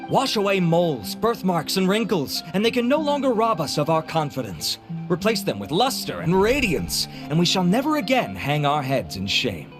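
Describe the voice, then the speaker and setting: A suave, vain scientist makes a pompous stage pitch in a fairly high male voice. His delivery swoops theatrically over a music bed, in video game style. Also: wildly swooping pitch, normal speed. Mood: grandiose. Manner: pompous, grandiloquent. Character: handsome, vain, suave male researcher and inventor.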